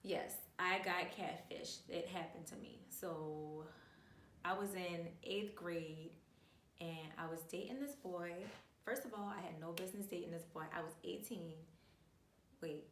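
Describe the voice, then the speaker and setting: A woman's voice: lowish voice, measured pace, a little hiss or hum. A woman in her twenties from New Orleans with a New Orleans accent, chatting casually to camera, full of slang and fillers. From New Orleans, USA. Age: 20s. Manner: chatty, animated, uses lots of slang and filler. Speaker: vlogger. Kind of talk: casual storytime chat. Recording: echoey room, mic at a distance.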